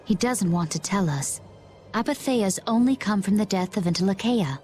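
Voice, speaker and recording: A woman's voice: mid-range voice, animated, normal speed, darkish tone, faint music behind, close to an everyday voice. A woman voicing a composed, courteous young adventurer in a video game. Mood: calm, composed. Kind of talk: English video game voice acting.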